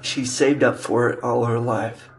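A man introduces podcast episodes at a slow, halting pace, breaking his sentences into short phrases. His pitch stays fairly flat and mid-range. Faint music plays behind him. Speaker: podcast host.

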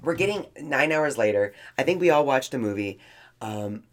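A woman's voice: a bass voice, moderate pace. Chatty woman telling a travel story. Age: middle-aged. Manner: animated, jokey, lots of restarts and quoted voices. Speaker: podcast co-host. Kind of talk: casual storytelling chat.

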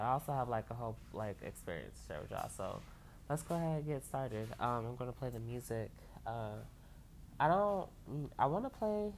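A woman's voice: extremely low pitch, a little hiss or hum. A woman hosting her own podcast in a loose, rambling chat at home, full of fillers and casual swearing, sounding a little stuffy from allergies. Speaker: podcast host. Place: home, laundry dryer running. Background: lo-fi music playing under the voice.

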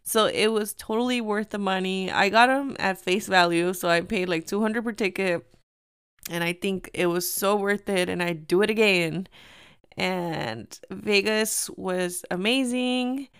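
A young woman hosting her own podcast, slightly hoarse and tired, chatting casually and telling a story with lots of "like". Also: mid-range voice, measured pace, a bit bright, no room sound, studio quality. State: tired from lack of sleep. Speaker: podcast host. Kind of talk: casual solo podcast chat.

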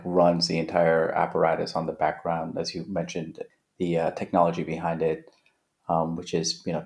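Deep-voiced man, halting and chatty. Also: gravelly. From Afghanistan. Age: late 30s. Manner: halting, many restarts and fillers. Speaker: podcast guest, entrepreneur. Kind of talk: casual interview chat.

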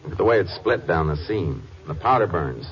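A man playing a calm, methodical Texas Ranger in an old radio drama, clipped, matter-of-fact and authoritative. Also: deep voice, brisk. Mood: businesslike, focused. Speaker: actor in lead role and narrator. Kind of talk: 1940s-50s radio drama acting. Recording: dull old-time radio recording with music.

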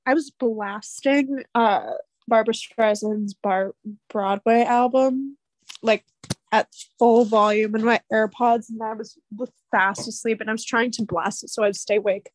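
Chatty young woman, bright high voice. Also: measured pace, dry recording, poor audio. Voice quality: slightly nasal. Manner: rambling, animated storytelling with many fillers. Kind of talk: casual chat.

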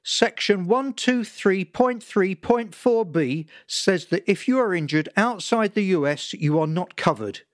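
A man playing an angry, lecturing father who talks slowly and emphatically. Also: high voice, very animated, no echo, clean studio sound, pitched above the performer's own voice. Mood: stern lecturing. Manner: emphatic, slow and pompous.

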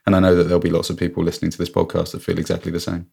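Deep-voiced man, earnest podcast co-host. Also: monotone, rapid-fire, vocal fry, dark tone, slightly rough. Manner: earnest and reflective, with sudden high-pitched exclamations. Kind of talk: casual chat.

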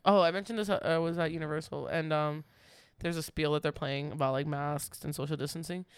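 Creaky-voiced man in casual chat. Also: fairly high pitch, fairly even intonation, a little fast, dry recording. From United States. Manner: laughs often, chatty and rambling. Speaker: podcast co-host.

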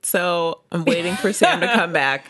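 Animated woman with a lower voice. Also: measured pace, no room sound. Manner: animated, acts out quotes in voices. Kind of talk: casual storytelling.

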